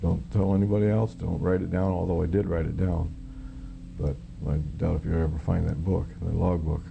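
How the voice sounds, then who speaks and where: A middle-aged or older American man recounting a personal experience in an interview, halting, plainspoken and matter-of-fact, as a former serviceman would. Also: deep voice, flat delivery, creaky, dull audio, background noise. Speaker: interviewee, former Air Force air traffic controller. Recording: guitar music playing behind the voice. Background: plucked guitar music.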